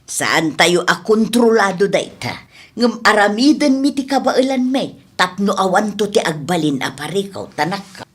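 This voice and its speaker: A woman playing a gentle, reassuring middle-aged mother with an Ilocano accent in a radio drama. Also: mid-range voice, big pitch swings, moderate pace, slightly rough.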